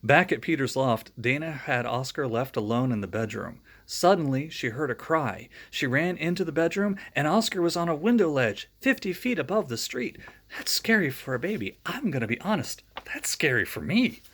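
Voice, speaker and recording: A man's voice: fairly high pitch, very animated, moderate pace, fairly bright tone. A man reading a children's storybook aloud, breaking off for friendly, joking asides to young listeners. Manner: friendly, chatty asides between read passages.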